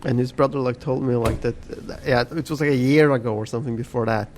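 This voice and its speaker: Podcast dude rambling a story, lots of like and swearing, bit of hiss behind. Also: male voice, mid-range voice, fairly even intonation, fast, a little mellow. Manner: rambling, swears often, heavy use of "like". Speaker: podcast co-host. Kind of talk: casual storytelling chat.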